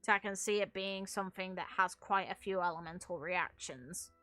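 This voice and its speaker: Female gaming host, bright forward voice, casual and chatty, music underneath. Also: fairly low pitch, normal speed, no room sound. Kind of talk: casual gameplay commentary. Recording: music playing behind the voice. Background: background music.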